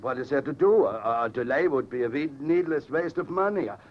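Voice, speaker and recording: An actor playing a polite, money-minded foreign businessman with a continental European accent, speaking formally and haltingly in vintage radio drama. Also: mid-range voice, expressive, lightly acted. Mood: mournful, awkward. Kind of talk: 1940s-50s radio drama acting. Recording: dull, noisy vintage radio recording.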